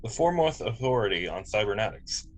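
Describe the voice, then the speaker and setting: A man's voice: fairly low pitch, sing-song, a little fast, low-quality recording. A man playing a literal, polite android officer who speaks formally and precisely in a sci-fi table read. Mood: factual, precise.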